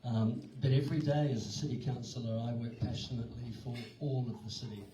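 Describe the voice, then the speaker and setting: An older New councillor speaks in a measured, flat, even delivery. The sound is dull and distant, bouncing around an echoing hall. Hiss runs underneath. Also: male voice, mid-range voice. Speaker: city councillor. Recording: echoey hall, distant muffled mic, hiss.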